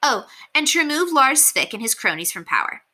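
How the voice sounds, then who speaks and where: A woman's voice: high voice, big pitch swings, a little fast, bright, forceful tone, pitched above the performer's own voice, full character voice. Woman voicing a bold, matter-of-fact young girl. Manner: matter-of-fact, politely commanding. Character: calm, bold, polite young girl revolutionary in a storybook.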